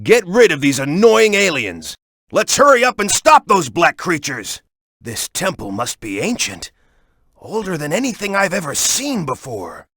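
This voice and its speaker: Game role: tough young action hero, brash and urgent, forceful animated delivery, dry close sound. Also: male voice, fairly high pitch, unhurried, no echo, full character voice. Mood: wary, serious. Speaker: video game voice actor. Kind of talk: video game character voice clips.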